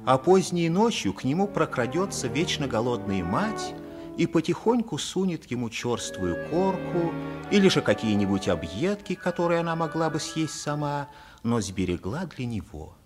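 A man narrating a radio play as a storyteller, in a dramatic and measured manner. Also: a little high, sing-song, music in the background. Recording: vintage 1950s radio play recording.

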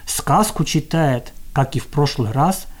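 A woman's voice: low-pitched, unhurried. A woman narrating a scripted introduction as a podcast host, with solemn, literary phrasing.

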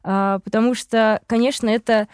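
Young woman answering with many pauses. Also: on the high side, steady tone, darkish tone, clear, resonant tone, no echo, clean studio sound. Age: young adult. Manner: thoughtful, many fillers and pauses. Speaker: scholarship holder, medical intern. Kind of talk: interview answer.

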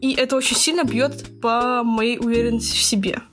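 A woman's voice: fairly high pitch, steady tone, brisk, over music. A twenty-year-old woman hosting a casual podcast chat in a playful, teasing manner. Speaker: podcast host.

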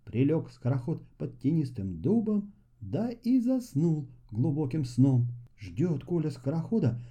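A man narrating a children's fairy tale in a theatrical, expressive storytelling style. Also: on the high side, unhurried, husky. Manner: theatrical, acts out characters' dialogue.

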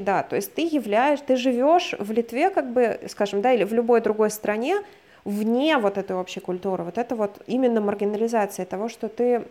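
A woman from Russia explains a concept in conversation, steady and patient in the way a lecturer would be. She hedges often as she goes, picking her words carefully rather than rushing. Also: mid-range voice. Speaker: guest expert on migration.

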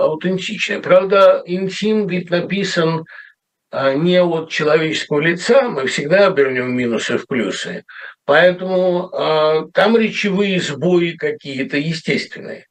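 Wry rambling middle-aged man. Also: on the high side. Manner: rambling, self-correcting, wry. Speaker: writer commenting on a radio show. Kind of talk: casual spoken monologue.

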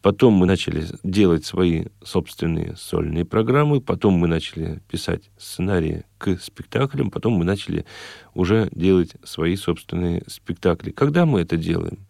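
Low, gravelly middle-aged man. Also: animated, normal speed, darkish tone, no echo, studio quality. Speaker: interview guest, singer. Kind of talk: conversational interview answers. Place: radio studio.

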